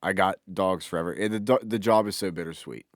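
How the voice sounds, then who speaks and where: A man's voice: deep voice, fast talker, dry recording, clean studio sound. A man co-hosting a podcast in casual, informal chat, with some offhand swearing. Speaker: podcast co-host.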